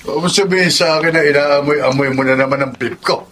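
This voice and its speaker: An actor playing an abusive, hot-tempered Filipino husband in a radio drama, with a sneering, belligerent delivery. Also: male voice, fairly high pitch, fast talker, fairly bright tone, a little hiss or hum, lightly acted. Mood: dismissive, irritated.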